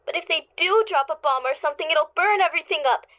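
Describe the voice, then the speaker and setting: A woman's voice: sits high, fast, pushed and bright. A woman playing a worried, eager young daughter in a radio drama, plain-spoken and earnest with her father. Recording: dull, band-limited recording.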